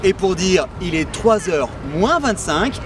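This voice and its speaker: A man's voice: sits high, big pitch swings, takes it slowly, noisy background. A man hosting a parody language lesson in a mock-earnest, cheeky manner. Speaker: comedic language teacher host.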